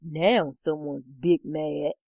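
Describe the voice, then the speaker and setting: A woman voicing a calm, teasing husband who gives slow, firm commands in a story. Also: a little high, noisy background, close to an everyday voice. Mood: taunting.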